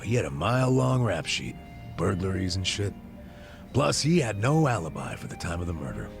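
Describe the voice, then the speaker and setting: Casting: middle-aged American ex-policeman for a game, lowish gravelly voice, blunt, regretful, streetwise. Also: big pitch swings, normal speed, music in the background, exaggerated voice. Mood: grave, confiding. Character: disgraced middle-aged ex-cop, gruff, regretful, good-hearted. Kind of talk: video game cutscene dialogue.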